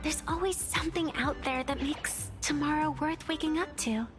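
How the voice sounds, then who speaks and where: A woman's voice: sits high, moderate pace, natural voice. A woman voicing a playful, philosophical young female game character who teases coolly. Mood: reflective. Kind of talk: video game voice acting. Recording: faint game music behind.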